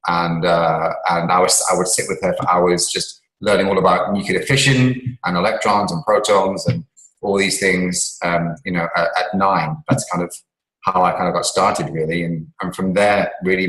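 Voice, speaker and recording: A man's voice: low voice, fairly even intonation, normal speed, a bit bright, echoey room, poor audio. A man from England, an author and scientist, answering interview questions at length with many ums and restarts.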